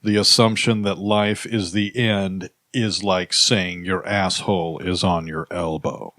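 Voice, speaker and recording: A man improvising comedy, making pompous, mock-profound pronouncements in a deadpan way. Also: low voice, a little slow, dry recording, studio quality.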